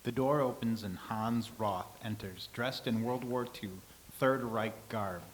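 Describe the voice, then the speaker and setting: A man reading the stage directions aloud in a plain, even way during a staged play reading. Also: mid-range voice, measured pace, slight background noise, low-quality recording.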